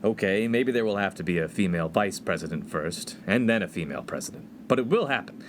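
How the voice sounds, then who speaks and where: Man playing an earnest college freshman with swooping pitch. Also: lively intonation, quick pace, a little hiss or hum. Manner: earnest, flustered. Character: earnest, awkward, naive young male college freshman. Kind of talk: comedic radio play acting.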